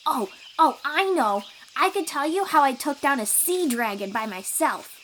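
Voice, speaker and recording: A woman playing a cheerful, boastful young sea-dwelling warrior in an audio roleplay, eager and expressive as she talks to the listener. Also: sits high, normal speed, a little hiss or hum. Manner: eager, bragging, reassuring, expressive storyteller.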